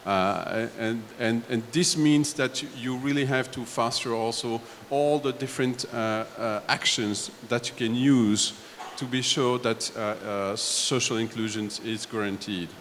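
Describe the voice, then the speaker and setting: A man from Belgium on a discussion panel, laying out his points one step at a time in a halting way. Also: medium pitch, fairly bright tone. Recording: echoey hall, faint background noise.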